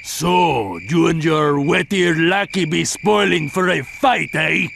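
A man's voice: on the high side, expressive, slow, gravelly, fairly bright tone, full character voice. A man voicing a belligerent pirate thug in a video game, snarling and menacing. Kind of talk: video game cutscene acting. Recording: noisy, night insect ambience behind.

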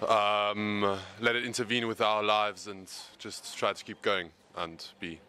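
Brief: twenties student man from Germany, lowish and forceful tone, measured answers. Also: level tone. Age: 20s. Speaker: student interviewee. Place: indoor university hallway. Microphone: handheld interviewer mic.

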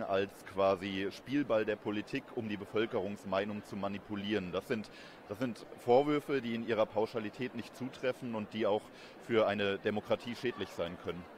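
Low-voiced academic man in an interview. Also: slight background noise. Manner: measured, academic, explanatory. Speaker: interviewed expert, communication professor. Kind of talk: explanatory interview answers. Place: busy event courtyard in daylight. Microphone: handheld reporter microphone held close. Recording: outdoor urban ambience behind voice.

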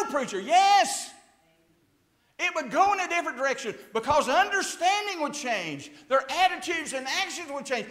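A man in his sixties preaching a fiery sermon at a church pulpit, swinging from hushed tones to shouts. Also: very high voice. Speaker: pastor. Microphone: lapel mic, far camera. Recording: echoey church sanctuary.

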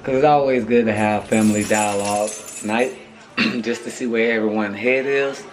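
Hoarse man vlogging to his phone. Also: lowish voice, fairly even intonation, normal speed, faint music behind, low-quality recording. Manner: loose, slangy, repeats "you feel me". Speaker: family vlogger. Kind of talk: casual vlog talk to camera. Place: apartment hallway indoors, then parked car. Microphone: handheld phone camera at arm's length.

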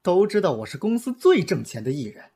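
A man's voice: high-pitched, very animated, fairly quick, mellow, husky, full character voice. A man acting in an audio drama as an arrogant, two-faced pop star with a haughty, suave manner. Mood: indignant.

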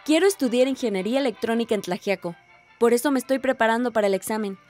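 A woman's voice: a little high, quick pace, a little mellow, faint music behind, close to an everyday voice. A woman playing a cheerful, studious teenage village girl in a radio drama, talking in a casual, youthful way.

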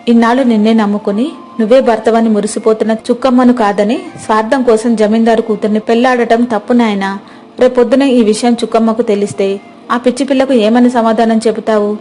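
A woman voicing an old village mother who gently scolds her son. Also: a little high, close to an everyday voice. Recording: music playing behind.

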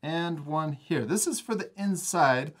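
A man's voice: a little high, lively intonation, a little slow. A man hosting a step-by-step drawing lesson for children in a casual, encouraging manner. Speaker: art teacher and host.

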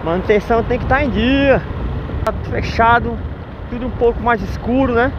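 A man's voice: very high voice, normal speed. A man from northeastern Brazil, chatting upbeat and jokingly as he vlogs from a motorcycle on city streets. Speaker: motovlogger. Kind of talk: casual vlog narration while riding. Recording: dull lo-fi with faint street noise.

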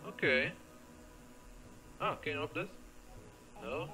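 A man's voice: on the high side, measured pace, gravelly, pushed and bright, rough recording. A man giving casual let's play commentary, thinking aloud in short, hesitant questions and playfully joking with the game's characters. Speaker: gamer playing a point-and-click adventure. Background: game music.

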